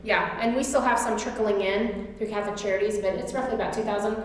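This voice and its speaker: A woman's voice: medium pitch, steady tone, fast talker. A woman from Oklahoma with an Oklahoma accent whose first language was Turkish, chatting at length as a guest speaker to a church class. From Tulsa, Oklahoma. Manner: chatty, answers questions at length. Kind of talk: informal class talk. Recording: echoey hall with long reverb.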